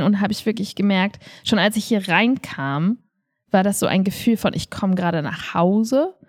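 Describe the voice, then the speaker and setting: A woman's voice: medium pitch, a little mellow. A woman co-hosting a podcast in casual conversation, talking as an improv theatre teacher. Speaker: podcast co-host.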